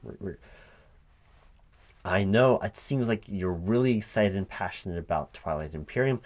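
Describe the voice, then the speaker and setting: A man playing a recruiting interviewer in a one-sided spoken ASMR roleplay, halting and repeating his words in a baffled, exasperated way. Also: sits low, darkish tone. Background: computer keyboard typing.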